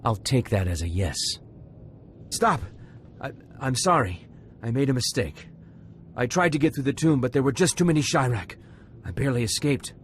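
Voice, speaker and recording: An actor playing an anxious, craven young Sith trainee in a video game, earnest and faltering, with music underneath. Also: male voice, mid-range voice, lively intonation, moderate pace, a little hiss or hum, natural voice. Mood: desperate, resigned. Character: anxious, defeated male Sith acolyte, craven and grateful. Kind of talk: scripted video game drama.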